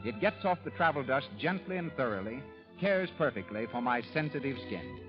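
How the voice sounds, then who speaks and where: Man, American accent, vintage radio pitchman, formal showman delivery, animated intonation, music playing behind, muffled old recording. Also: normal speed. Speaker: radio show announcer and sponsor pitchman. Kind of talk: 1930s radio announcing, scripted. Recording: dull 1930s radio broadcast, music behind.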